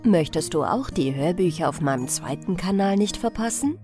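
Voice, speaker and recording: A woman narrating a fairy-tale audiobook for bedtime in an expressive, measured storytelling manner. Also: fairly low pitch, faint music behind.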